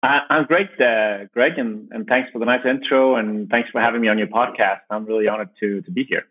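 A man from Denmark, a company founder, answering interview questions in a thoughtful, halting way with frequent restarts. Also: mid-range voice, fairly even intonation, no echo. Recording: dry, tinny phone-like line.

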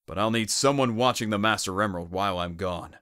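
A man's voice: on the low side, quick pace, natural voice. A man voicing a blunt, earnest, easily exasperated young male echidna guardian in a cartoon fan dub. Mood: businesslike. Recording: music behind the voice.

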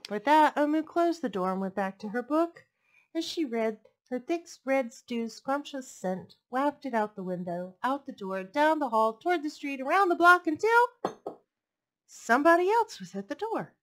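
A young woman hosting a storytime, reading picture books expressively with deliberate phrasing for young listeners. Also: high voice, lively intonation. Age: young adult. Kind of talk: expressive children's story read-aloud. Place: indoors, furnished home room. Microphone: headset microphone.

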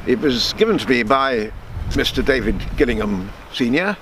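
A man in his seventies reading a written true story aloud in a measured way, now and then halting over words. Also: medium pitch, expressive. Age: 70s. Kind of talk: reading aloud a written memoir, with spoken introduction. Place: outdoors on a seafront. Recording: wind and sea noise on microphone.

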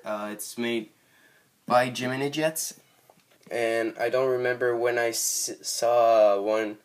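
A man from Montreal with a Quebec French accent, hesitantly showing off his model airplane collection in a casual vlog, full of ums and uhs. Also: medium pitch. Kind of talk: casual show-and-tell vlog. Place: bedroom with model airport table, indoors.

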